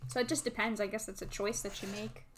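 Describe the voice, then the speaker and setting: A woman's voice: mid-range voice, steady tone, fast talker, background noise. A woman with an American Midwest accent, hosting her channel and chatting at home while she builds, talking easily and thinking aloud. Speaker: channel host. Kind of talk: casual chat while building. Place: home, indoors. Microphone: table microphone.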